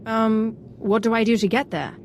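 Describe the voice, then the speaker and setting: A woman's voice: on the high side, fairly even intonation, moderate pace, a little hiss or hum, lightly acted. An actress playing a cool, wry female Sith apprentice in a video game, self-assured and dry. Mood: curious, pleased. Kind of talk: video game character acting.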